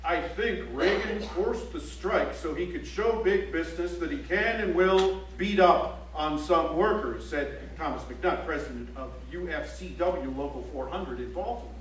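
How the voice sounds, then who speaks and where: Actor as high-voiced male news reporter, echoey. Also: unhurried, hardly any pauses, close to an everyday voice. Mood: emphatic. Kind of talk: stage recitation of news reports. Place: library auditorium stage. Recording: echoey auditorium, distant, faint hum.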